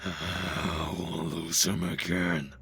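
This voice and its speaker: A man playing a ruthless, obsessed intelligence boss in a comic book audio drama, gravelly, commanding and menacing. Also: low voice, flat delivery, very slow, a bit bright, full character voice. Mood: cold, commanding. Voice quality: gravelly, rasping. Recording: music behind the voice.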